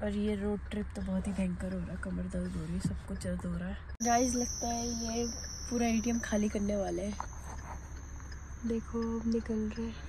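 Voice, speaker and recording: A woman's voice: medium pitch, little pitch movement, moderate pace. A woman in her twenties chatting casually and informally to viewers as a travel vlogger. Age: 20s. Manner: informal, addresses viewers directly. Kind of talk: casual chatty vlog narration. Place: in a car and outdoors at roadside. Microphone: camera at arm's length. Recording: noisy handheld vlog with traffic noise.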